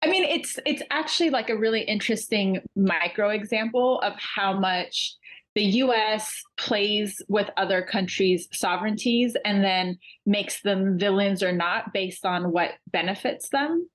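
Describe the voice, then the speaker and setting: A woman's voice: mid-range voice, unhurried, low-quality recording. A woman guest born in Iran and raised in the United States, talking frankly and animatedly with many ums and likes. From Iran, raised in the USA. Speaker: podcast guest, business coach. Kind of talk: casual interview.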